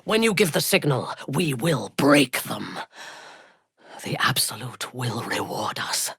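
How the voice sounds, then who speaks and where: Low, husky, ruthless female zealot warrior. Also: very animated, fairly bright tone, dry recording, clean studio sound, exaggerated voice. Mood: devout, intense. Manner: measured, imperious, menacing, formal diction. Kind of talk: video game character voice lines.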